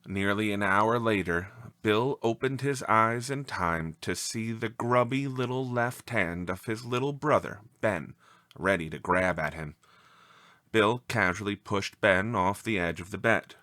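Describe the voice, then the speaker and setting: Deep-voiced man narrating a story, unhurried. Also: pushed and bright, dry recording, studio quality. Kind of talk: expressive scripted story narration.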